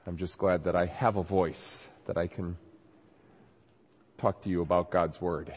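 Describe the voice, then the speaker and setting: A man preaching a sermon and reading scripture while getting over a cold, his voice a little nasal and raspy, in an earnest, emphatic manner. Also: low voice, little pitch movement, measured pace, darkish tone, slight background noise. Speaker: pastor. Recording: dull recording with long hall reverb.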